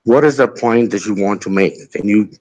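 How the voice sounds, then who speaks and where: A man's voice: on the low side, normal speed, a little mellow, no room sound, poor audio. A man in a heated debate, speaking defensively and insisting that the other side listen to him. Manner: defensive, insists on being heard. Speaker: debate guest.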